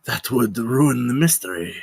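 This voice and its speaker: A man plays a menacing nobleman in a dramatic table read. His voice is husky and mid-pitched, and he stays controlled while brooding on vengeance. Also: lively intonation, a little slow, a little mellow. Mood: smooth, guarded.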